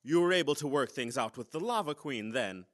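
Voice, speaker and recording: An actor playing a flustered, two-faced, scheming boss in a radio comedy, exclaiming theatrically. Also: male voice, medium pitch, expressive, fairly quick, natural voice. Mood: anxious, feigned politeness. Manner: theatrical, exclaiming, stammering cover-ups.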